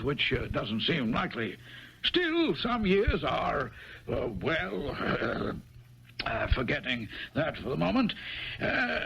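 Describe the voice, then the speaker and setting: An actor playing a pompous, fussy, tight-fisted government superior, stammering with disdain in a radio drama. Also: male voice, a little high, vocal fry, a bit bright, husky, exaggerated voice. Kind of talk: 1970s radio drama acting. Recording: dull, lo-fi 1970s radio recording.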